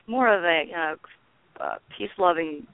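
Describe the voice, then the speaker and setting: A woman's voice: fairly low pitch, gravelly, no echo. An older woman speaks as an interview guest, feeling her way through her answers with frequent ums and false starts. Her voice comes down a narrow, tinny phone line. The sound is dry with no room around it. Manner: halting, with many ums and restarts. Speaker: guest, founder of a peace network. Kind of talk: casual radio interview.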